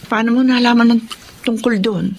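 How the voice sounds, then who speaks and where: A woman's voice: on the high side, fairly even intonation, moderate pace, a little hiss or hum, close to an everyday voice. A woman playing a wary, hurt woman. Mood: guarded. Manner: hesitant, guarded. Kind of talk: radio drama acting.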